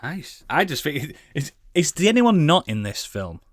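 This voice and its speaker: A man hosts a casual podcast panel with a very animated, swooping delivery. He is jokey and teasing, swears freely, and sounds close and dry. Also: a little high, unhurried, no room sound. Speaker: podcast host.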